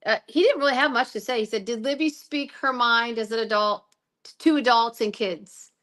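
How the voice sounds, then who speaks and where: Halting woman reading her notes. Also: on the high side, a bit bright. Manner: halting, many restarts while reading notes. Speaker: co-host recapping trial notes. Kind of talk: casual chat, reading from notes.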